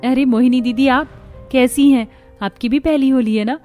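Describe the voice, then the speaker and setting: A woman's voice: high voice, fairly even intonation, moderate pace, dark tone, faint music behind, lightly acted. A woman voicing a sweet, contented young bride from a poor household in a cartoon story, mild and modest. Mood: cheerful. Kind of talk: Hindi cartoon story dialogue.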